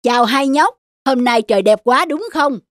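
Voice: sits high, lively intonation, moderate pace, no room sound, clean studio sound. An actor voicing a sly, scheming wildcat who gloats and talks pompously as a false forest god. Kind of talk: children's animated fairy tale villain. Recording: music behind the voice.

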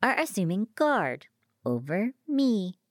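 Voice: mid-range voice, big pitch swings, measured pace, dry recording, pitched above the performer's own voice. Woman acting polite machine intelligence, sly and teasing, formal wording, swooping intonation, dry close sound, science fiction audiobook.

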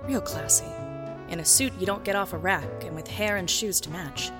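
An actress playing a private detective who narrates in a deadpan film noir style. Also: female voice, fairly low pitch, normal speed, music in the background, lightly acted. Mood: wry. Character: hard-boiled private eye narrator, wry and dry.

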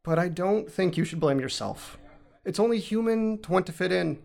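A man's voice: high voice, animated, moderate pace, vocal fry, a little mellow. An earnest, awkward young guy. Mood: wry, awkward. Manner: earnest, self-deprecating. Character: awkward, apologetic young male college dropout. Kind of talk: naturalistic modern audio drama acting.

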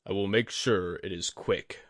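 A man's voice: low-pitched, measured pace, a bit bright, dry recording, studio quality. A man voicing an earnest, steadfast young male student in a restrained, resolute way for a fantasy audiobook.

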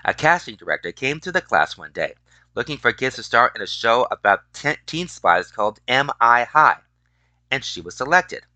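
A man's voice: medium pitch, very animated, moderate pace, pushed and bright, no echo, poor audio. A man reading a feature article aloud, often stumbling and restarting words. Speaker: reader of a news article.

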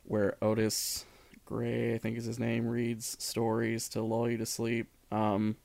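A man's voice: medium pitch, completely flat delivery, unhurried, gravelly, darkish tone, a little hiss or hum. A man co-hosting a podcast, chatting casually about things. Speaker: podcast co-host.

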